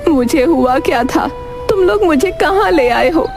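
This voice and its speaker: Lady doing a weak village mom for a cartoon story, high voice, homely and thankful, music behind. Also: lively intonation, unhurried, creaky, full character voice. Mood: weak, grateful. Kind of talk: cartoon moral story acting.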